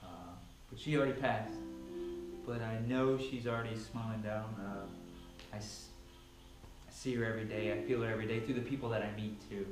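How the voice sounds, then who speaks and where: A man's voice: on the low side, fairly even intonation, fairly quick, music in the background. A man speaking as a Bible reader at home, recorded in a large room by a camera some distance away. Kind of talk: casual introduction, then dramatic scripture reading. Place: large, sparsely furnished living room at home. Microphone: camera a few metres away. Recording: echoey room, picked up from a distance.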